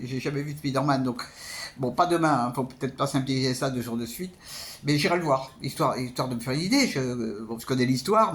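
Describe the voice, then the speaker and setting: A man giving a casual spoken film review as a vlogger, hesitant and often repeating words. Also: mid-range voice.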